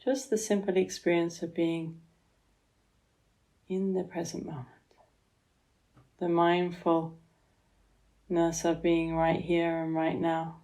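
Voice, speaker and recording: Slow, mellow woman guiding meditation. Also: fairly low pitch, level tone, rough recording. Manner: soothing, gentle, unhurried with long pauses. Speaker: yoga therapist guiding a meditation. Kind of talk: guided relaxation meditation.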